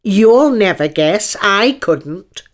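A woman's voice: medium pitch, very animated, slow pace, a bit bright, pitched above the performer's own voice. Woman voicing a haughty, drawling storybook unicorn. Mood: eager. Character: haughty, dreamy male unicorn, eager and sly.

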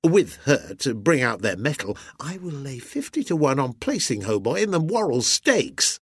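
A man's voice: mid-range voice, big pitch swings, normal speed, slightly rough, dry recording, studio quality, voice pushed up. A man voicing a hearty, beaming sea captain in an audiobook, with an exuberant, bluff manner and old-fashioned nautical phrasing. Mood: eager, boastful.

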